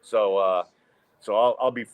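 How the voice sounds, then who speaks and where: A man's voice: on the low side, fairly even intonation, a little slow. A man in his fifties hosting a podcast, joking in a self-deprecating, wry way. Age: 50s. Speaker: podcast host. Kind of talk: casual podcast banter.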